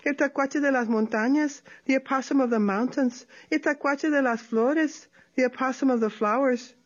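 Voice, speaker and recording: A woman's voice: high-pitched, moderate pace, voice pushed up. A woman voicing a sly, cheerful male opossum trickster who sweet-talks in Spanish and English. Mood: coaxing. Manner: sweet-talking, chirpy, switches Spanish and English. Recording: dull lo-fi recording.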